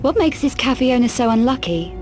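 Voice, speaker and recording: A woman's voice: on the high side, expressive, a little fast, music in the background, natural voice. A woman playing a tough, self-assured adventurer in a video game, with a terse, dry, unflappable delivery. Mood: inquisitive. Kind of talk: video game cutscene acting.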